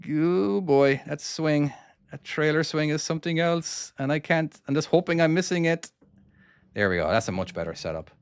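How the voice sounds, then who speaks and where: A man's voice: a little high, lively intonation, moderate pace, clear, resonant tone, dry recording. Irish man giving casual gameplay commentary. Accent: Irish (Cork). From Ireland (Cork). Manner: casual, talks himself through each maneuver and answers chat questions. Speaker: game developer streaming his own game.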